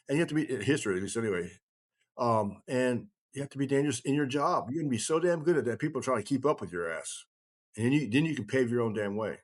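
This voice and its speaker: An older man in casual podcast conversation, rambling but emphatic, with blunt, salty language. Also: medium pitch. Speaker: kindred chieftain.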